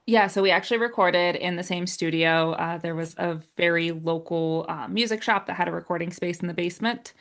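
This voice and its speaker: A woman with a slightly low voice talks as a podcast guest. She speaks casually, in the conversational way of a writer discussing her own work. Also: a little fast, creaky, few pauses, a bit bright. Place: remote video call.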